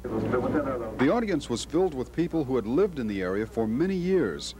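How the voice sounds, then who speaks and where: A man's voice: medium pitch, big pitch swings, normal speed, darkish tone. An American man narrating a scripted television news field report.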